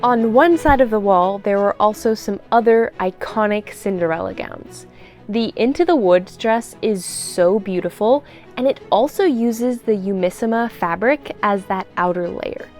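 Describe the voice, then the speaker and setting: A woman's voice: mid-range voice, unhurried, a little mellow. A woman vlogger speaks as an enthusiastic hobby seamstress, in a mid-range voice. Her delivery is gushing and full of exclamation. Music plays behind her voice. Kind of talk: excited vlog narration.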